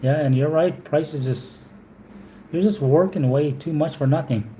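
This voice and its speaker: A man's voice: a little high, unhurried, dark tone, slight background noise, low-quality recording. A man from Alberta with a Canadian accent, chatting casually on a live stream, answering viewers and repeating his words as he rambles.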